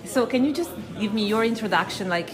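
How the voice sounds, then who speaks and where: A woman interviewer asking prompting, clarifying questions in a relaxed conversation. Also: on the high side, fairly quick. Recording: music playing underneath.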